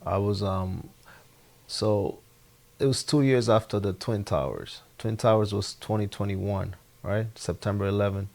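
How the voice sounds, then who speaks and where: A young man with a Spanish accent, speaking English as a second language as a guest in a relaxed interview, halting and repeating his words. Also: on the low side, gravelly. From Honduras. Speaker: podcast guest, former soccer player. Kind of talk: casual interview chat.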